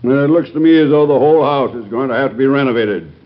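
Actor as a hearty, blustery senator, muffled. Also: male voice, medium pitch, quick pace, close to an everyday voice. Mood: grumbling, practical. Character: hearty, practical senator, friend of the president. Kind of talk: 1950s radio drama acting. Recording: muffled vintage radio, faint noise.

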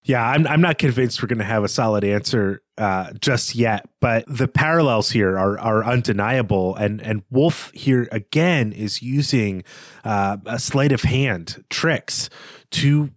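Man, mid-pitched voice, dry recording, literary podcast co-host, articulate, reflective, bookish conversational analysis. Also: a little slow, no echo.

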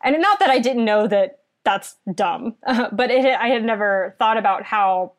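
A woman's voice: fairly high pitch, lively intonation, unhurried. A young woman from southeastern Virginia recommending a book in a casual chat, earnest and thoughtful, rambling a little.

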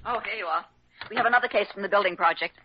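An actor playing a busy, brisk hospital staffer in a 1940s-style radio drama. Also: male voice, sits high, lightly acted. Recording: dull, noisy old radio recording.

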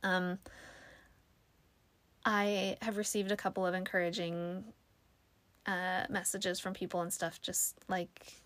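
A woman's voice: fairly low pitch, flat delivery, takes it slowly, pauses often, a bit bright, no echo. A young woman hosting her own podcast, talking casually with a soothing voice and many fillers. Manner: chatty, self-deprecating, lots of fillers. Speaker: podcast host. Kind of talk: casual solo podcast chat.

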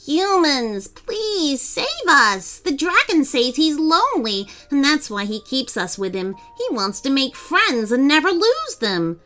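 A woman voicing an excitable young male troll, mischievous and easily frightened, in a children's storybook. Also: high voice, unhurried, few pauses, soft background music, pitched above the performer's own voice, full character voice. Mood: pleading.

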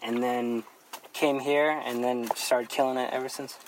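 A man's voice: mid-range voice, little pitch movement, measured pace, a little hiss or hum, rough recording. A young man from the Philippines, a guest photographer, chatting in a laid-back, rambling way with lots of fillers. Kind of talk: casual podcast chat.

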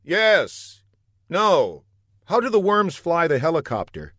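A man voicing a dim, wisecracking hero in a comic audiobook, earnest, deadpan and naively unfazed. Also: a little high, slow pace, no room sound, studio quality, pitched above the performer's own voice, full character voice. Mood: baffled.

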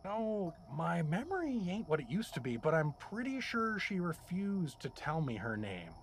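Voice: mid-range voice, very animated, normal speed. An actor playing a bewildered, gullible dad and reluctant hero in an audio drama, plain-spoken, naive and exasperated. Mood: puzzled. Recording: clear background noise and ambience.

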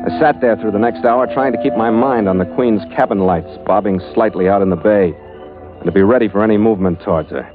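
An actor playing a tough, cynical sea captain, terse and deadpan, in 1940s radio noir style. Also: male voice, lowish voice, fast talker, phone-quality sound, lightly acted. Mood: grim, wry. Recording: band-limited vintage radio recording with music.

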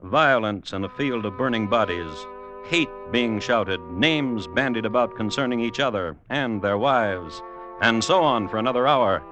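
A grave storyteller narrates an old radio drama in a fairly low voice. The delivery is measured and solemn, with music clearly playing behind. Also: male voice, expressive. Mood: grave, dramatic. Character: grave storyteller narrating a macabre family tale.

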